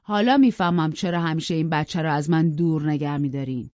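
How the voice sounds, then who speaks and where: Woman as violent raging father, shouting, mocking, close dry audio. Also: on the high side, clean tone, dry recording, highs cut off, voice pushed up, natural voice.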